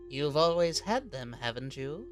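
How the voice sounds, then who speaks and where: A man voicing a sly, courteous, menacing gentleman hunter in a comic dub, speaking in a theatrical, genteel way. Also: on the high side, very animated, measured pace. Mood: persuasive, sly. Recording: background music under voice.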